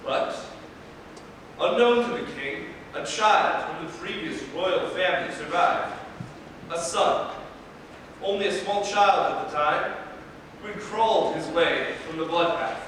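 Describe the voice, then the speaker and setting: A man playing a solemn fairy-tale narrator in a staged reading, with dramatic, measured delivery. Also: high-pitched, a bit bright. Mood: ominous. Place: large reverberant room at a live staged reading. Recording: distant echoey hall, faint noise.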